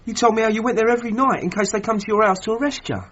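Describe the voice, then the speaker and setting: An actor playing a tough, loyal working-class British boxer in a radio drama, blunt and plain-spoken, with a British accent. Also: male voice, high voice, very fast, a bit bright, highs cut off. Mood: wary, grim.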